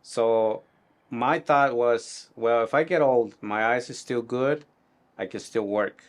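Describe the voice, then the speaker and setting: A middle-aged man from Guatemala with a Guatemalan Spanish accent, answering interview questions in a halting, reflective way. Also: medium pitch. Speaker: tailor being interviewed.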